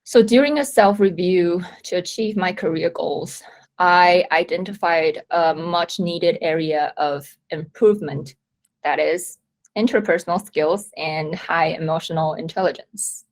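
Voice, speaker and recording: A woman in her thirties, originally from Taiwan, giving a measured, reflective presentation at a company event. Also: lowish voice. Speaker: company talk speaker. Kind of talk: prepared presentation talk.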